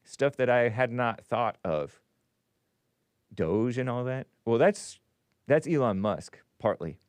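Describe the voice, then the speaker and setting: A man's voice: medium pitch, lively intonation, slow, darkish tone, clean tone, no room sound, clean studio sound. A man hosting a call-in talk show, rambling through the news with opinions and frequent restarts. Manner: rambling, opinionated, self-interrupting. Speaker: host. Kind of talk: casual talk radio monologue.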